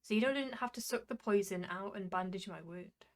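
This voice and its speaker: A woman narrating a visual novel as a wry, reflective young male jester, in measured storytelling. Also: medium pitch, lightly acted. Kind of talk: visual novel first-person narration read aloud.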